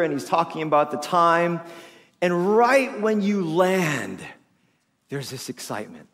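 A man in his forties, a pastor who grew up speaking Tagalog, preaching an animated, humorous sermon told as a personal story. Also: high-pitched. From Philippines. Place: church auditorium. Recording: long hall reverb.

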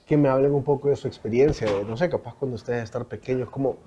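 A Salvadoran man hosting a casual conversation, curious and relaxed, using local slang. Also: mid-range voice, fast, slight background noise. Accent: Salvadoran Spanish. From San Salvador. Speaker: host. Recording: dull lo-fi sound.

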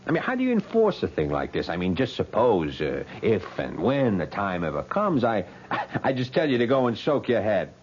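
A man's voice: lowish voice, wildly swooping pitch, normal speed, few pauses. An actor playing a brash, cocky young working-class American man with slangy, street-smart phrasing in a 1970s radio drama. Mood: hesitant, uneasy. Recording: muffled, noisy 1970s radio broadcast.

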